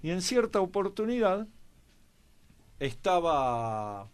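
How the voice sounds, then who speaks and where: A man with an Argentine accent telling anecdotes conversationally as a guest commentator, halting often with pauses and filler words. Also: sits high, very animated, clean tone. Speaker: guest commentator on a history and politics programme.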